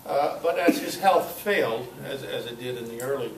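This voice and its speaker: An older man giving an informal talk at a club meeting, telling personal anecdotes in a halting, conversational way. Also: mid-range voice. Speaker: guest speaker at a club meeting. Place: meeting room, in front of an audience. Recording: echoey, noisy room recording from far off.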